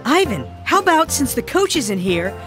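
Earnest high-pitched cartoon boy footballer. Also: big pitch swings, moderate pace. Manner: explanatory, earnest. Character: earnest boy footballer briefing his teammates. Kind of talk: animated cartoon dialogue. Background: background music.

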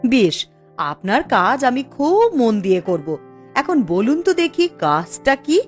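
A woman's voice: on the high side, sing-song, slow, faint music behind. A woman voicing a discontented, ruthless village wife in a cartoon, dramatic and scheming. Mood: eager, obedient. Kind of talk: Bengali fairy-tale cartoon voice acting.

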